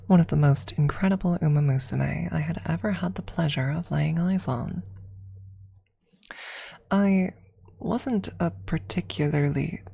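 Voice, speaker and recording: A woman with a low, mellow voice speaks close to the mic in a soft ASMR register. Her delivery is slow and gentle, with a breathy texture that often slips toward a whisper. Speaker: streamer. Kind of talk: ASMR, casual chat and soft story reading. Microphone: close-up microphone.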